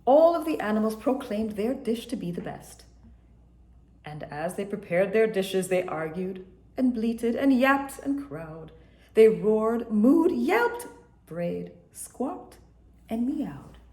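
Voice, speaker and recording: A woman reading a children's picture book aloud with an expressive storytelling manner. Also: medium pitch. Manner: expressive, slow, storytelling delivery. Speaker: storybook reader. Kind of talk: children's read-aloud narration. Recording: echoey room.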